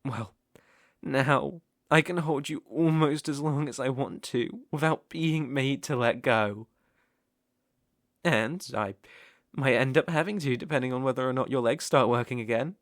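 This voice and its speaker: A man acts out a possessive, lovesick stalker with a British accent in an intimate audio roleplay. His speech is slow and coaxing, with a creaky edge, often sinking toward a whisper. The sound is close and dry. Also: medium pitch, no echo, close to an everyday voice. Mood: tender, possessive. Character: obsessive, tender yandere stalker, softly possessive man. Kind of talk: intimate ASMR roleplay acting.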